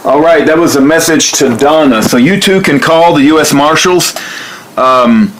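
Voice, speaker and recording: Higher-voiced man making an earnest appeal. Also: moderate pace, a bit bright, a little hiss or hum, low-quality recording. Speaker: activist making and sharing a phone appeal. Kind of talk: earnest spoken appeal and phone message.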